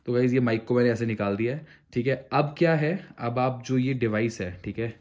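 A man's voice: mid-range voice, a little fast, darkish tone. A man explains a product step by step in a conversational vlog style, mixing in English phrases. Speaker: motorcycle gear reviewer. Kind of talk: casual hands-on product tutorial.

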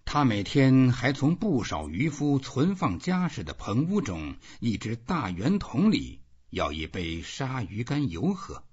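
A man narrating a literary audiobook in an expressive reading style. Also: medium pitch, a little slow, hardly any pauses, slightly rough. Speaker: audiobook reader. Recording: dull, muffled lo-fi audio.

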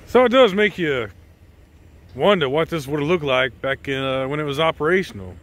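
A man's voice: on the high side, animated, normal speed, fairly bright tone. A middle-aged man giving casual, unscripted vlog commentary in a hesitant, rambling way. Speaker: vlog host.

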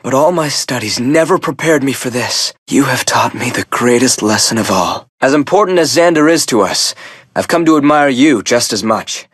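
A man voicing a devoted, smooth-talking young nobleman in a fantasy game, suave and expressive. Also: mid-range voice, normal speed, no room sound, clean studio sound. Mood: sincere. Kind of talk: game voice acting.

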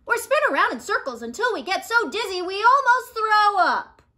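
A woman voicing an excitable, indignant little girl from a picture book, with emphatic exclamations. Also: very high voice, moderate pace, pitched above the performer's own voice, heavily acted. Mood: excited, indignant.

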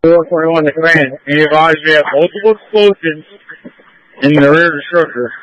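A man's voice: on the high side, fairly even intonation, normal speed, fairly bright tone, dry recording. A man, a firefighter, giving field reports over a two-way radio. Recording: dull, lo-fi two-way radio transmission.

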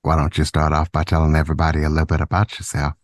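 A man hosting a podcast, reading a measured introduction and asking his guest questions. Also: very deep voice, monotone, no room sound. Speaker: podcast host.

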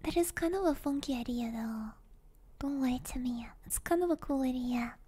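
A woman with a high, soft, dark-toned voice streams ASMR close to the microphone. She mostly whispers, breathy and relaxed, rambling casually as she chats with her viewers. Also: normal speed. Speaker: ASMR livestreamer. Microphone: close binaural ASMR microphone.

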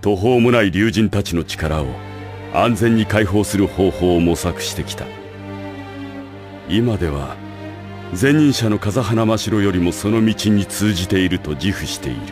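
A man playing a calm, scheming school director, measured and formal, in an anime audio drama. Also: fairly low pitch, over music. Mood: calm, calculating. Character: calm, calculating male school director with secret plans.

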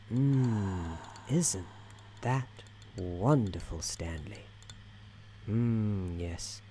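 Casting: man as droll inner-voice narrator for ASMR game roleplay; soft-spoken, mellow, lilting, measured, softly condescending. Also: lowish voice, a little hiss or hum. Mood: gently teasing. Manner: measured, whimsical, gently patronising. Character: droll, soothing male storybook narrator in an office worker's head.

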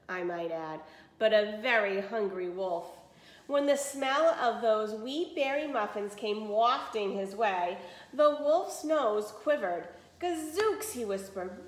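A woman in her forties reading a children's story expressively as a teacher, with explanatory asides to pupils. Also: mid-range voice. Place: small room indoors. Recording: roomy echo of a small room.

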